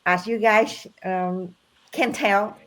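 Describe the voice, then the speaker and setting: A woman whose first language is Vietnamese tells her story on a live video call. She speaks in simple, halting English with dropped words and pauses to find them. She works as a business coach. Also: medium pitch, fairly bright tone, low-quality recording. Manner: slow and earnest, pausing to find words. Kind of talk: live online testimony.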